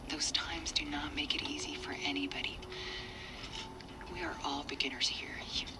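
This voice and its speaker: A woman's voice: low-pitched, very animated, very slow, far from the mic, heavy background noise, exaggerated voice. A woman playing a grave, protective adult survivor who speaks plainly and consolingly in a game audio drama.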